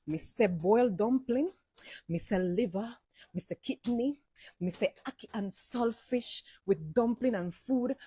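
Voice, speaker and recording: A woman's voice: mid-range voice, warm tone, husky, no echo. A middle-aged woman from Cuba, speaking with a Jamaican accent and patois, telling a numbered list of stories. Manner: storytelling in patois, counting a list.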